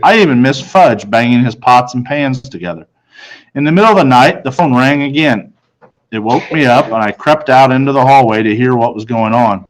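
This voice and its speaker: A man narrates a children's book aloud in the first person. His voice sits at a medium pitch, and he reads as the storyteller. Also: expressive, normal speed, poor audio. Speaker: storybook reader.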